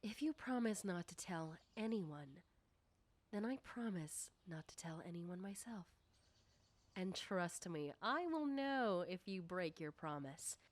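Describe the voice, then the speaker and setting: Slow, coy popular schoolgirl, acted by a woman. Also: on the low side, expressive. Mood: secretive, assured. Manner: coy, probing, deliberate, often dropping into whispers. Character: nosy, teasing, self-assured popular high school girl. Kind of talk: ASMR roleplay, soft personal-attention talk.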